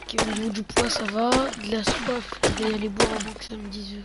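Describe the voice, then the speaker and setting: High-voiced young man narrating gameplay. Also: little pitch movement, a little fast. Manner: muttering to himself between remarks to viewers. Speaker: gamer. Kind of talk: let's play commentary. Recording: noisy home recording.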